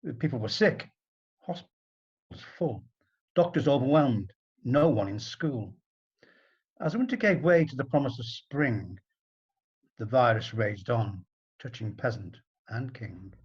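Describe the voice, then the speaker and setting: Man, husky voice, expressive rhyming narration, storybook style, deliberate phrasing, slightly dull recording. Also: mid-range voice.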